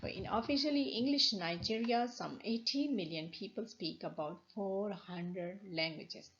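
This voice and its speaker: A woman's voice: medium pitch, unhurried, a bit bright. A woman with a Pakistani accent, a university lecturer explaining over slides, with many restarts and fillers. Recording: dull lo-fi recording.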